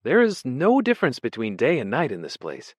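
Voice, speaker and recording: A man's voice: mid-range voice, huge pitch swings, a little fast, breathy edge, no echo, voice pushed up. A man voicing a nervous, pedantic male poet held as a prisoner, speaking vaguely and with fussy indignation. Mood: bewildered, pedantic.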